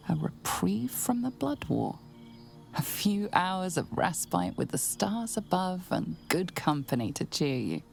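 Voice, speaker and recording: An actress playing a solemn storyteller, narrating a dark fantasy video game in a measured, dramatic way. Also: female voice, sits low, creaky, exaggerated voice. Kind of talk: scripted second-person game narration.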